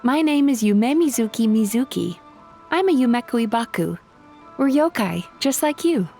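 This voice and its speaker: A woman voicing a friendly, composed yokai therapist in a video game, polite, measured and reassuring. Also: fairly high pitch, lively intonation, gravelly, dark tone, faint music behind. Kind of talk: scripted video game dialogue.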